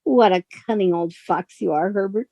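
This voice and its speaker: A woman's voice: mid-range voice, normal speed, vocal fry, warm tone, no echo, muffled recording. A woman playing an affectionate, curious, easily vexed young newlywed wife in a radio suspense play. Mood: coy, teasing.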